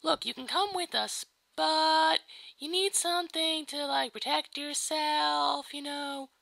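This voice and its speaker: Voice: high-pitched, steady tone, slow, creaky, sharp tone, no room sound, full character voice. An amateur performer voicing a dim, breezy young pirate girl, casual and slangy, in a video game parody. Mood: reluctant, annoyed.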